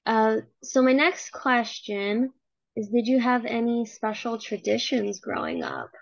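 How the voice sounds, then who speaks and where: A woman's voice: on the high side, big pitch swings, a little slow, creaky, dry recording. A young woman interviewing a family member for a class project, friendly and encouraging, often saying "like". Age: young adult. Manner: friendly and encouraging, many "like" fillers. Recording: slightly dull, lo-fi audio.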